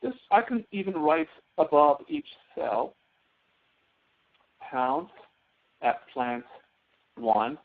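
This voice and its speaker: A man's voice: on the high side, big pitch swings, slow pace, pauses often. A man giving a step-by-step tutorial lecture as an instructor, often asking questions and answering them himself. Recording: narrow phone-like audio.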